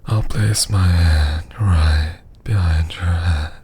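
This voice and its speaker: A very slow, deep-voiced vampire lord played by a man in an intimate ASMR roleplay. He is seductive, menacing and condescending, teasing in a husky half-whisper that drips with command. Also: warm tone, a little hiss or hum. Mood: taunting, seductive.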